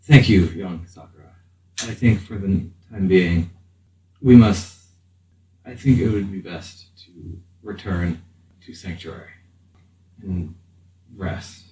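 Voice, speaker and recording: A man playing a grave, stoic, haunted warrior in a tabletop game, speaking in a halting, formal monotone. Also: low voice. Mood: weary, sorrowful. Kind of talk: tabletop roleplay acting. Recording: roomy distant mic, faint hiss.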